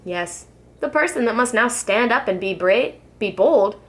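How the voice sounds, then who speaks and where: A woman, a preschool teacher, reads a children's storybook aloud in an expressive, sing-song rhyming delivery. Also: fairly high pitch, measured pace.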